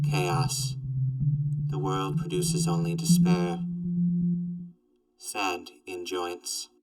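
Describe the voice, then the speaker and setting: Low, slow deadpan robot. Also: male voice, recorded from a distance, slight background noise, heavily acted, rough recording. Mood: bleak, deadpan. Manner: deadpan, clipped system-announcement phrasing. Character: slow, literal robot companion, gloomily deadpan. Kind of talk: post-apocalyptic audio drama.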